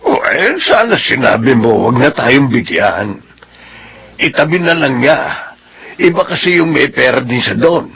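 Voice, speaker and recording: Creaky, protective old father. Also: mid-range voice, very animated, unhurried, fairly bright tone, full character voice. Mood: gentle concern. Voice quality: creaky, gravelly. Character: kindly, protective elderly father and grandfather. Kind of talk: radio drama acting.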